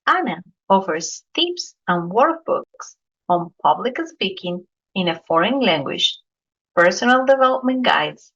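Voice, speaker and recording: Woman host, mid pitch, measured interviewer, dull audio. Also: a bit bright, slightly rough. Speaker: podcast host. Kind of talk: reading a guest introduction, then interviewing.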